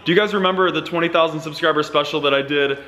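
A man's voice: a little high, steady tone, rapid-fire, sharp tone, slight background noise. A young American man chatting casually to camera as a vlogger, informal and jokey. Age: young adult. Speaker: vlogger, prankster. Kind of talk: casual vlog chat to camera. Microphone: handheld camera at arm's length.

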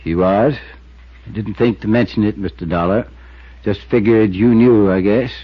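A male actor plays a mild, protective small-town lawman in a fifties radio drama. He speaks in a lowish voice with hesitant country phrasing. The recording is old and dull. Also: normal speed, lightly acted. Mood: protective, reluctant. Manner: folksy, hesitant, gentle. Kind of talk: 1950s radio drama acting. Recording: muffled 1950s radio recording.

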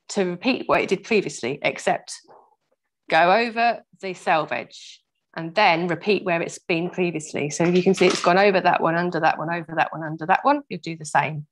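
Croaky woman, slightly low voice, calm step-by-step craft tutor on a video call. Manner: patient, explains slowly, laughs at own mistakes. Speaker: weaving workshop teacher. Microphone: laptop mic over video call.

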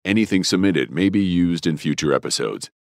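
A man reading a formal, measured scripted disclaimer as an announcer. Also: low voice, expressive, dry recording, clean studio sound.

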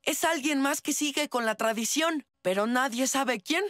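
A woman voicing an earnest, eager young boy in a cartoon dub, explaining things clearly. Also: high-pitched, moderate pace, a bit bright, dry recording, studio quality. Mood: storytelling.

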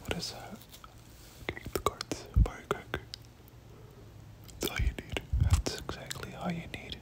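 Whispered ASMR gaming commentary. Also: measured pace. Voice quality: breathy whisper. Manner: repeats words and short phrases over and over. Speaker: gaming video host.

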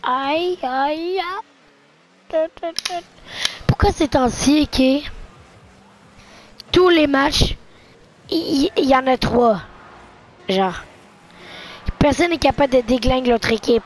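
High-voiced woman with a sore throat. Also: slow pace, pauses often. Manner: excitable, joking, chatty. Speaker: esports commentator. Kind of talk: casual game commentary.

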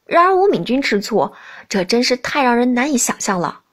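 A woman narrating a novel in the first person as an expressive audiobook reader. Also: fairly high pitch, brisk.

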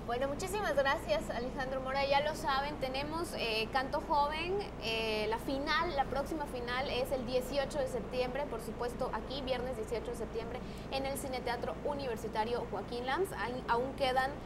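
A young woman hosting a TV magazine show, thanking her guest and announcing upcoming events in a brisk, friendly way. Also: high voice, steady tone. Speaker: TV host. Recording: background noise.

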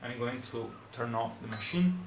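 A young man from Brazil with a Brazilian Portuguese accent, in his twenties, speaking English as a second language in a casual how-to demonstration. He talks in a plain, unhurried way and searches for words, at a desk in a small room. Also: mid-range voice, lively intonation, echoey room, a little hiss or hum, rough recording. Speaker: hobbyist demonstrator.

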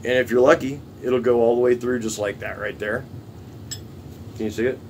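A man's voice: mid-range voice, fairly even intonation, moderate pace. A middle-aged man, giving a casual, plainspoken hands-on tutorial and repeating his points. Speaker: DIY tool-building presenter. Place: small cluttered indoor workshop. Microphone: camera a few steps away. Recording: distant camera mic, noisy workshop hum.

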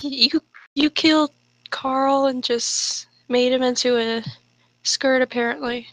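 High-voiced, very slow-reading woman. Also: steady tone, fairly bright tone, dry recording, low-quality recording. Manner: halting, stumbling reading. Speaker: co-host of a group fanfiction reading. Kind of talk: casual comedic read-aloud and chat.

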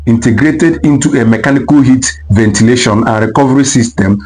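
A man reading a scripted documentary voice-over in a steady, explanatory way. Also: mid-range voice, brisk, rough recording. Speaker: narrator. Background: faint background music.

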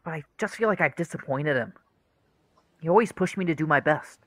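Actor as an earnest, bright-voiced troubled young man. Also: fairly high pitch, animated, fairly quick, no room sound. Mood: downcast. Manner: hesitant, confessional. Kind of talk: radio play acting.

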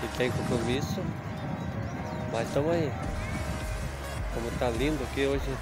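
A man's voice: medium pitch, over loud music. Rambling man. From Curitiba region, Brazil. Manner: rambling, repetitive, halting. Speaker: guest filming for the channel. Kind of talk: casual walkabout narration. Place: outdoor flea market.

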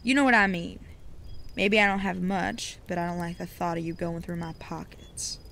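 A woman playing a gruff, stubborn young male deputy in a Western audio drama, curt and grumbling. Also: fairly low pitch, steady tone, normal speed, a bit bright. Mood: wary, grumbling.

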